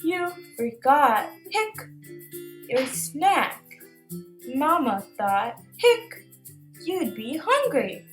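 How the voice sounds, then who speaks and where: A woman's voice: big pitch swings, extremely slow, long pauses, reverberant, soft background music, exaggerated voice. A woman voicing a sweet little girl monster in a storybook, tiny-voiced and interrupted by hiccups. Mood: sweet, hiccuping. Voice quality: tiny, squeaky high voice. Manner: hiccuping between words.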